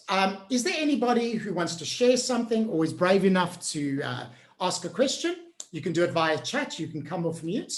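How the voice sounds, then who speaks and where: A woman's voice: medium pitch, reverberant. A woman hosting an online workshop on change management. She coaches the group directly in an upbeat, encouraging way and keeps checking in with them.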